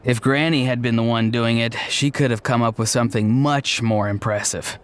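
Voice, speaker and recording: A man's voice: mid-range voice, fairly even intonation, moderate pace, clear, resonant tone, natural voice. A man voicing a modest, slightly awkward young man in an anime game, hesitant and self-effacing. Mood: modest, uneasy. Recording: music playing behind the dialogue.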